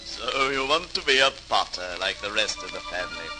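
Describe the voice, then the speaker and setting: A man's voice: on the low side, animated, a little fast, music in the background, natural voice, poor audio. An actor playing a proud, kindly potter father, hearty and fond, in a 1940s radio drama. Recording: hissy 1940s radio recording.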